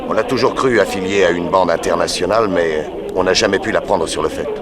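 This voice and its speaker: A man's voice: low voice, brisk, over a noisy crowd, lightly acted. A man playing a cool, self-assured private detective in a 1960s French radio drama, with a dry, authoritative manner. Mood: wry, explanatory.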